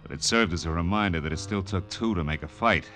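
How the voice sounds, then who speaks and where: Deep-voiced, hardboiled private detective. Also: male voice, fast, sharp tone, soft background music. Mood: wry narration. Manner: terse, dry, world-weary. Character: tough, wry male private detective. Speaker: lead actor and narrator. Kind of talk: hardboiled 1940s radio drama acting.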